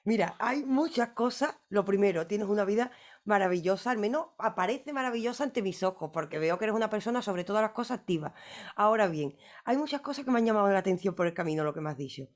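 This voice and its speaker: A woman interviewer with a voice in the middle range, talking casually. She rambles through her questions and slips in teasing comments. Her delivery is relaxed and conversational. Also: medium pitch, fast talker. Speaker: interviewer host.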